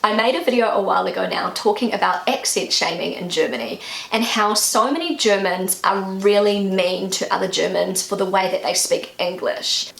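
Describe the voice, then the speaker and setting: A woman's voice: medium pitch, vocal fry, fairly bright tone. A woman with a strong New Zealand accent, chatting earnestly to camera in a casual vlog, her voice jumping up for emphasis. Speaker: vlogger. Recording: echoey room.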